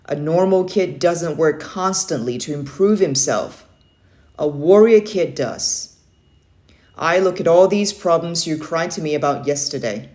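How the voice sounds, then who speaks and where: A woman's voice: low voice, measured pace, darkish tone. A woman voicing a stern, motivating young Navy uncle in a children's story read-aloud, firm and emphatic. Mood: stern, instructive.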